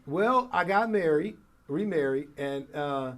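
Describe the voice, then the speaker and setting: The American morning radio host in the guest chair, a man with a fairly high, trained voice, telling stories with emphasis and repeating his best lines. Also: lively intonation, measured pace, a bit bright. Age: middle-aged. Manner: polished broadcaster delivery, emphatic, repeats phrases for effect. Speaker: radio program director and morning show host, interview guest. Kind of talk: animated conversational storytelling.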